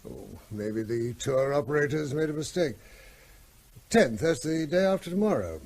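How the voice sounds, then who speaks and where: A man's voice: medium pitch, lively intonation, moderate pace, gravelly, a little mellow. An actor playing a mild, worried middle-aged country vet in a radio drama, hesitant as he mulls things over. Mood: mild, evasive. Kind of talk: naturalistic radio drama acting.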